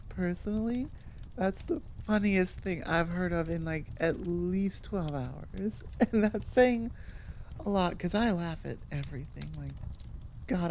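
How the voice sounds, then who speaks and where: A woman audio roleplay creator chatting informally to her listeners in an animated, rambling way. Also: lowish voice, creaky, slight background noise. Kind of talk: casual chat outro to listeners.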